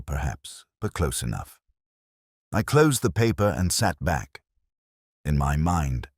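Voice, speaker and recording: An extremely deep voice from a male actor tells a detective story as a brooding inspector. The delivery is solemn and measured, yet the pitch moves expressively through each reflective line. The sound is dry and close, like an audio drama narration. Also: dry recording, exaggerated voice. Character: brooding male English police inspector narrating his investigation. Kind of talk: literary first-person scripted narration.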